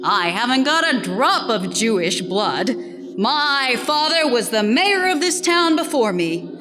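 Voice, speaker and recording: Proud, blustering small-town alderman female actor, theatrical comedy, high register, reverberant space. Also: sing-song, a little slow. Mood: boastful. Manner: blustering, muddled with learned words. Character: proud, plain-spoken, dim-witted village alderman. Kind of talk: theatrical reading of a comic period play. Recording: reverberant hall, music behind.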